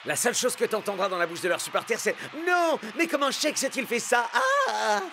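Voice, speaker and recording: A man voicing a cocky, upbeat young cartoon football player, with loud music behind. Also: extremely high pitch, very animated, normal speed, vocal fry, noisy background, exaggerated voice. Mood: boastful. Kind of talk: animated cartoon dub.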